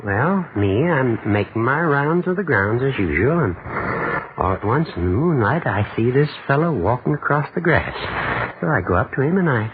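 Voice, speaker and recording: A man plays a plain-spoken night watchman telling his story in a halting, colloquial way. The 1940s radio drama sounds thin and narrow, with heavy noise over it. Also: mid-range voice, very animated, natural voice. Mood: shaken. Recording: very noisy, narrow-band 1940s radio recording.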